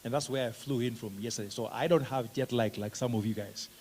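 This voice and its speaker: A middle-aged man with a Kenyan accent speaks at a mid pitch, introducing himself at a conference in a relaxed, joking way. He pauses often on "uh" between phrases. A faint hiss sits under the recording. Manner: relaxed and joking, with frequent "uh" fillers. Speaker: conference presenter, professor of special education. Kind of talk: conference talk, self-introduction. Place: conference hall.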